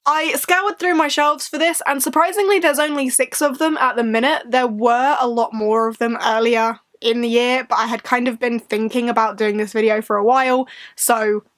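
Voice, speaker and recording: A woman with a fairly high voice hosts a sit-down book vlog. She sounds a little congested, as if getting over a cold. Her delivery is casual and rambling, addressed straight to her viewers. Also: fairly even intonation, moderate pace, few pauses, a bit bright. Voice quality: slightly congested, bunged-up nose. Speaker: book vlogger, host.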